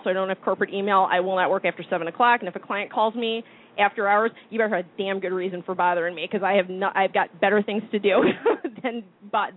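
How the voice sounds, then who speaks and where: A young woman from Detroit with a Midwestern accent, a guest telling her story in a blunt, joking, casual way. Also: medium pitch. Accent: Midwestern American. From Detroit, Michigan. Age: young adult. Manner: blunt, joking, self-mocking. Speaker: guest, cancer survivor. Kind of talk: casual interview chat. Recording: tinny phone-like line.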